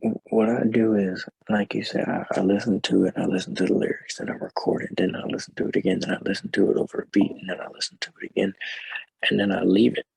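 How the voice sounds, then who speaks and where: A man with a naturally deep voice that sounds a bit muffled and slurred, chatting casually as an interview guest. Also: little pitch movement, fast, vocal fry, a little mellow, slightly rough, no echo. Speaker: rapper guest.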